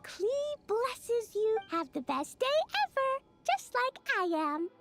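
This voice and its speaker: A woman voicing a bubbly, mischievous little girl in a video game, with a squeaky childlike voice and excitable delivery. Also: takes it slowly, full character voice. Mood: cheerful. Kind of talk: video game character voice acting. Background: game music.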